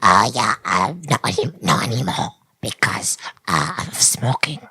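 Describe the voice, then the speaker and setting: A performer voicing a tiny, meek mouse in a hesitant, breathy, raspy whisper for an improvised comedy bit. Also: medium pitch, lively intonation, moderate pace, sharp tone, no room sound, clean studio sound, voice pushed down, full character voice. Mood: sheepish. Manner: hesitant, stammering, polite. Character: tiny female guitar-playing mouse, meek crack smoker.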